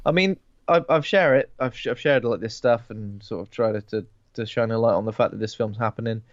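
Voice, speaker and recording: A man's voice: lowish voice, fairly quick, dry recording. A sniffly man co-hosts a horror podcast in a jokey, easygoing way, laughing often and doubling up his words. His mic sounds a little dull and very dry, with no room around it. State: sniffly with a cold. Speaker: podcast co-host. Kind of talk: casual film podcast chat.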